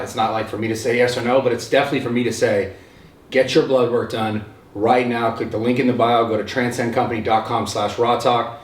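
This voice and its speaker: Earnest man's ad read in a roomy space. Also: medium pitch. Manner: earnest, urging listeners directly. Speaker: podcast host reading an ad. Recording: roomy sound, mic at a distance.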